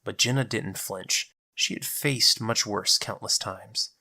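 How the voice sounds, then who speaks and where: A man's voice: fairly low pitch, slow pace, gravelly, pushed and bright. A man narrating a scripted dramatic story as its storyteller.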